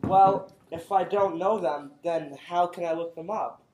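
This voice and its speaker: A man's voice: sits high, unhurried, very noisy. A young man playing a simple, suspicious, literal-minded villager in a comic stage play, blunt and rustic in his phrasing. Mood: baffled. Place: theatre stage, indoors. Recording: roomy, echoey stage recording.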